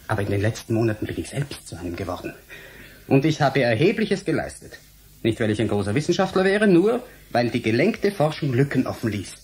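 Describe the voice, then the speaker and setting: Husky, defiant scientist. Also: male voice, mid-range voice, darkish tone. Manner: formal, firm, measured. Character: defiant, principled younger scientist and lecturer. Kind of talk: German radio play acting.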